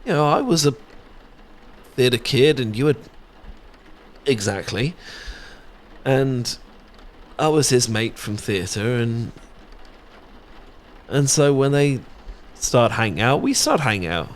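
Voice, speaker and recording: A British man playing an affectionate, playful young best friend in an intimate, casual ASMR audio roleplay, chatting and teasing with soft tag questions. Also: mid-range voice, very animated, slow pace, long pauses. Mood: nostalgic.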